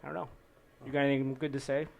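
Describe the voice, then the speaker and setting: A man's voice: medium pitch, level tone, very fast, vocal fry. A man hosting a casual podcast, joking with and teasing his guests. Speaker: podcast host.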